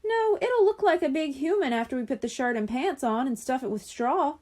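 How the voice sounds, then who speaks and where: Lady doing a confident little girl bossing friends around, kids storybook, high and animated. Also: a little fast. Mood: directing. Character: confident, bossy young girl organizing her friends. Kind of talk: children's audiobook character dialogue.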